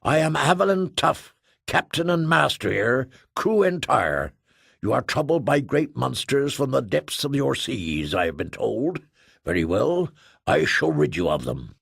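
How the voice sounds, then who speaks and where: A man doing a massive, self-pitying space trader, delivered slowly in a low, gravelly register. Every phrase is stately and a little pompous, with a dry, close storybook sound. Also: sing-song, a bit bright, no room sound, exaggerated voice. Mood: sorrowful. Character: huge ponderous male space trader, formal and self-pitying. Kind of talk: audiobook character voice.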